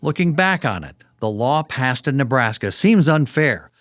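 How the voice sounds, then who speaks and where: A man hosting a history podcast, narrating in a clear storytelling manner. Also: mid-range voice, big pitch swings, moderate pace, a bit bright, dry recording, clean studio sound. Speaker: podcast host. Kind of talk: scripted documentary narration.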